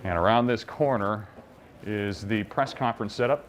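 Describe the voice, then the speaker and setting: A man's voice: fairly low pitch, moderate pace, slight background noise. A man talking casually and explaining things step by step, like an announcer giving a behind-the-scenes tour. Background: background music.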